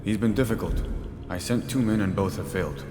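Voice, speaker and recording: A man's voice: fairly low pitch, fairly quick, music in the background, close to an everyday voice. A man playing a dutiful rebel guardsman. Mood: earnest. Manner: earnest, respectful, formal. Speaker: rebel soldier at his post. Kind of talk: video game cutscene dialogue. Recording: echoey, reverberant game mix.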